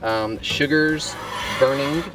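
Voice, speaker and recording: A man's voice: mid-range voice, takes it slowly, far from the mic, loud music behind the voice, low-quality recording. A man in his thirties hosting a cooking show outdoors at a griddle, explaining each step with jokey, self-deprecating banter. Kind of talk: casual cooking demonstration.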